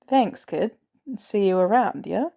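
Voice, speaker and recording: Confident grown man, done by a female narrator: mellow, casual, clipped, curious and fond, story reading. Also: medium pitch, lively intonation, slow pace, gravelly, full character voice. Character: confident adult man, gruffly fond and inquisitive.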